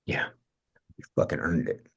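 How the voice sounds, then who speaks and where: A man's voice: normal speed, close to an everyday voice. A man acting a brash, restless, irreverent young slacker in a casual, naturalistic table read. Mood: easygoing. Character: brash, restless, fast-talking slacker cousin, scheming and irreverent.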